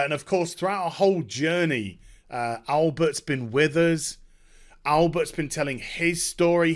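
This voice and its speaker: A man talking casually and enthusiastically as a gaming video creator, recapping a story in an emphatic, halting, rambling way. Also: fairly high pitch, a bit bright.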